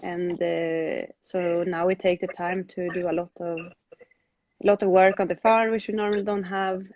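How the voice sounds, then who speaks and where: A woman's voice: lowish voice, level tone, measured pace, dull audio. A woman show jumper answering questions in a live online interview, speaking in a plain, conversational way.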